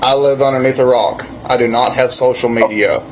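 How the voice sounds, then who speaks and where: A man's voice: medium pitch, fairly even intonation, fairly quick. Polite, businesslike man on a noisy call. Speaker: police officer returning a call. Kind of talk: formal phone conversation. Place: on a telephone. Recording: faintly noisy recorded phone call.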